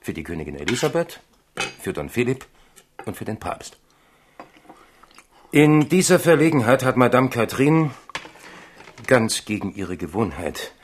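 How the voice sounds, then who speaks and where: A man's voice: lowish voice, lively intonation, unhurried, long pauses, slight background noise. An actor in a German radio play playing a loyal, talkative manservant who speaks deferentially to his king. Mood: deferential, confiding. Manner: wordy, deferential, storytelling.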